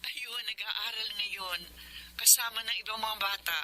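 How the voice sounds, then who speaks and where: A woman's voice: a little high, fairly even intonation, unhurried, sharp tone. A woman playing a caring, devout orphanage nun in a Tagalog radio drama, with a Filipino accent and a consoling, motherly manner. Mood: fond, concerned.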